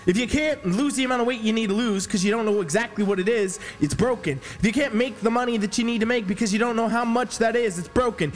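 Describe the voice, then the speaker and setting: A high-pitched man rants like a hype coach, swearing freely and pushing his listeners hard. He sounds a little sniffly from allergies. The voice rings with a long reverb, and faint music sits under it. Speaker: fitness coach, podcast host. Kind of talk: profane motivational podcast rant.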